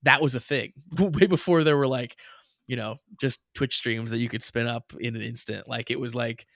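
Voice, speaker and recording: A man's voice: medium pitch, fairly even intonation, moderate pace, fairly bright tone, no echo, studio quality. A young man co-hosting a podcast, chatting in a rambling way with many restarts and frequent laughs. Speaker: podcast co-host. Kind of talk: casual chat.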